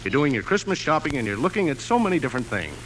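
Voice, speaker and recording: An actor playing a chatty, knowing department store clerk in old radio comedy style. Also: male voice, medium pitch, very animated, very fast, a bit bright. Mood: wry, knowing. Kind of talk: 1950s radio comedy acting. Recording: noisy old radio show recording.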